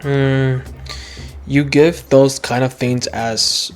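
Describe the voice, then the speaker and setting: An actor playing a calm, gentle, reserved young traveler in a video game, with a measured, understated delivery. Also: medium pitch, faint music behind. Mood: mild. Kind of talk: video game dialogue acting.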